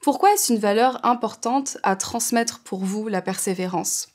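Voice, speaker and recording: A woman's voice: medium pitch, unhurried, darkish tone. A woman with a Québécois French accent interviewing an author, reading polished prepared introductions and questions.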